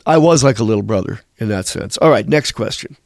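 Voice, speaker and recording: A man's voice: on the low side, animated, fairly quick, vocal fry, no room sound, clean studio sound. Blunt rambling man telling stories. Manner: blunt, rambling, swears casually. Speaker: musician interviewee. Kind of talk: candid conversational storytelling.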